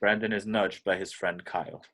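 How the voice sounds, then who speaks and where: A man's voice: sits low, steady tone, moderate pace, a bit bright. A man reading stage directions as scripted narration in a table read.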